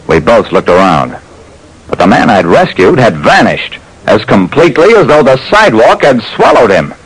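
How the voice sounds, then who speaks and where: A man's voice: mid-range voice, big pitch swings, moderate pace, slightly rough, rough recording. A man playing a tough, wry private detective who narrates his cases in dramatic vintage radio style. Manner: wry, dramatic, clipped storytelling. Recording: old radio recording with faint noise.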